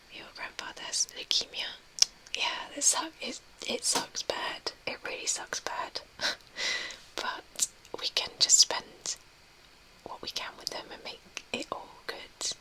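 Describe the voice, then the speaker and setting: A young woman whispering softly as she reads and answers a live chat, a little tearful. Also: takes it slowly, long pauses, rough recording. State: tearful, recently crying. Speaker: ASMR streamer. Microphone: webcam mic.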